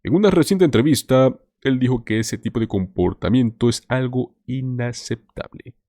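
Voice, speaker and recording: A man's voice: medium pitch, moderate pace, warm tone, no echo, studio quality. A man hosting a political commentary show, talking to viewers in an emphatic, scripted way. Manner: emphatic, addresses viewers as "señores".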